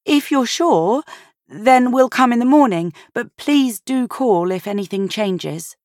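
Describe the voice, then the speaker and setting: Audiobook role: kind granddaughter, acted by a female narrator, lighter high register, courteous, composed, earnest. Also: expressive, unhurried, a little mellow, no room sound, studio quality. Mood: polite concern. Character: kind, calm, expressive woman, a caring granddaughter.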